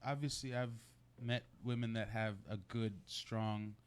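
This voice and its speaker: A man answers questions as a guest on a talk show. His voice is a little low, and his delivery is casual and slangy, with frequent checks that the listener agrees. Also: steady tone, a little slow, smooth. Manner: informal, slangy, seeks agreement with "right?". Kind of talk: casual conversational interview answers.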